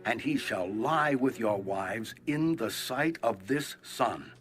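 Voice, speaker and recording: An actor playing a solemn, stern prophet pronouncing judgment in a measured, dramatized scripture reading. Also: male voice, medium pitch, expressive, a bit bright, faint music behind. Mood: grave pronouncement. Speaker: audio Bible voice actor.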